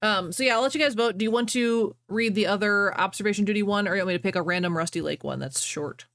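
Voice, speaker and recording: A woman's voice: medium pitch, quick pace, fairly bright tone, dry recording. A woman livestreamer chatting casually with viewers and reading fan fiction aloud.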